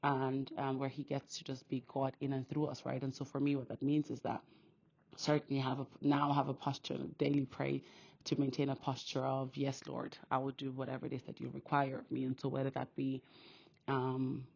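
A woman from Austria hosting a podcast, sharing her faith in an earnest, quick, casual monologue full of restarts. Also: rumbling low, creaky, a little mellow, slightly rough. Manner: earnest, talks fast with many restarts. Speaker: podcast host. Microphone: phone. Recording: dull phone recording.

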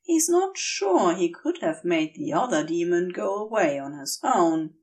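A woman's voice: fairly low pitch, sing-song, unhurried, a little mellow, distant mic. A woman narrating a scripted piece of fiction as an audiobook-style reader. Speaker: podfic narrator.

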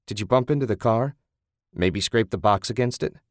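An angry husband barking accusations at his wife, as a male narrator puts him on. His voice is low and his delivery curt and bitter. The recording is dry and close, with no room around it. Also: moderate pace, no echo, natural voice. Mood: accusing. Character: hot-tempered, accusing husband obsessed with his car.

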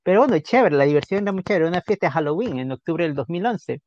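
A woman's voice: on the low side, expressive, fast talker, a little mellow, lo-fi sound. A woman from Ecuador with a Guayaquil accent, a guest on a podcast, talking in a friendly, open and casual way. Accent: Guayaquil Ecuadorian Spanish. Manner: friendly, open, laughs easily. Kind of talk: casual conversational interview.

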